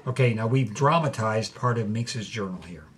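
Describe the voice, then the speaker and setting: A man's voice: on the low side, lively intonation, moderate pace, slightly rough. An actor playing an eager male radio host, delivering a scripted interview show. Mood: engaged.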